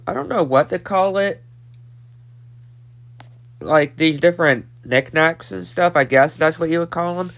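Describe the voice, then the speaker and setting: A man's voice: on the high side, a bit bright. A nineteen-year-old man with a stuffed-up nose, casually recapping a cartoon episode and repeating himself with lots of fillers. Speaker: episode reviewer.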